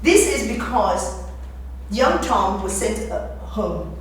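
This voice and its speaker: A very reverberant, distant recording with faint noise carries a woman's somewhat high voice. She speaks as if giving an informal talk, conversational yet emphatic, often repeating a phrase or posing a rhetorical question. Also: animated, slow pace. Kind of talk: informal lecture with readings from a novel. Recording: very echoey room, distant mic, faint noise.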